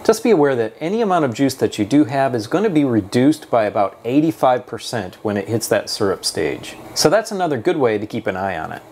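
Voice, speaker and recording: Guy in his 30s walking thru a homestead how-to, calm and practical, lapel mic, mid-pitched voice. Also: a little fast, few pauses, a little hiss or hum. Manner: steady, practical explaining straight to camera. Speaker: homesteading channel host. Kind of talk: instructional how-to vlog. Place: home workshop barn, then a kitchen, indoors. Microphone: clip-on lapel mic.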